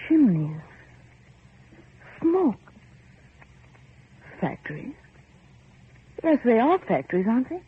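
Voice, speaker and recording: An anxious young heiress, with a high voice that swings widely in pitch. She is insistent, pleading and melodramatic in the old radio horror manner. The sound is narrow and muffled, like an aged broadcast. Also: big pitch swings, slow pace, long pauses, breathy edge, exaggerated voice. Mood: alarmed, bewildered. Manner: pleading, urgent, melodramatic questioning. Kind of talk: 1940s radio horror acting.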